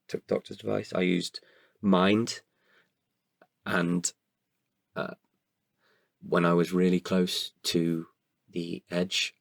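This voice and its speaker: A man with a very low voice full of gravelly creak. He speaks as an interview guest, candid and hesitant, feeling his way through each answer and doubling back on words. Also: dry recording, studio quality. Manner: hesitant, halting delivery with repeated words. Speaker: podcast guest, writer and performer.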